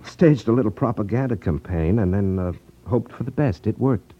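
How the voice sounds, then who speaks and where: An actor playing a confident, wry detective in a 1950s radio drama, explaining things with self-assurance. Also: male voice, fairly low pitch, normal speed, husky. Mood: wry confidence. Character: confident, wry male ex-magician rackets detective. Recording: muffled 1950s radio recording.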